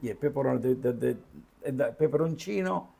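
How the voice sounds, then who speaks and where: An older man from Calabria with a strong Italian accent, chatting while cooking, halting and repeating words, slipping into Italian. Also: mid-range voice, animated, vocal fry, dark tone, breathy edge. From Calabria, Italy. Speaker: home cook. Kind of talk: casual chat while cooking.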